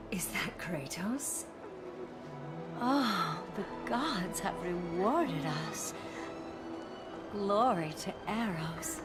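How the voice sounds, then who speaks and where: A woman playing a seductive, worshipful temptress in a video game, speaking in a languid, enticing, breathy manner. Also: mid-range voice, very animated, very slow, long pauses. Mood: sultry reverence. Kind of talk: dramatic video game acting. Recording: loud music under the voice.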